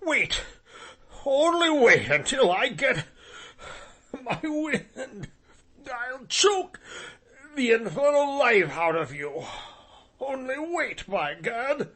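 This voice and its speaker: A man voicing a hot-tempered young gentleman who blusters and curses in a high, strained voice. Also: big pitch swings, takes it slowly, husky, heavily acted. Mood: furious. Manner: blustering, cursing.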